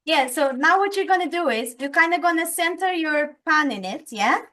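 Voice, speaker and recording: High-voiced portuguese woman explaining calmly. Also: normal speed. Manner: calm, patient, step-by-step explaining. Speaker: guest instructor. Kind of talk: casual recipe instruction.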